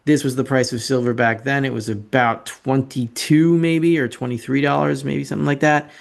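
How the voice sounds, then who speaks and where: A man's voice: mid-range voice, steady tone, moderate pace, vocal fry. A man hosting an informal financial commentary over slides, opinionated and sardonic, often repeating phrases for emphasis. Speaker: financial commentator and show host.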